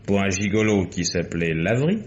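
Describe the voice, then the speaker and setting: An actor playing a cool, sardonic private detective in a French radio crime drama, speaking in a dry, measured, needling way. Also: male voice, low-pitched, lively intonation. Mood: dry, insistent. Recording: dull, faintly noisy old radio recording.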